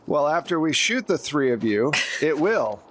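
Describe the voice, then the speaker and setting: A man's voice: a little high, sing-song, normal speed, a little hiss or hum. A man playing a smug, overconfident armed small-town thug in a tabletop game, speaking dismissively. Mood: smug menace. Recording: music behind the voice.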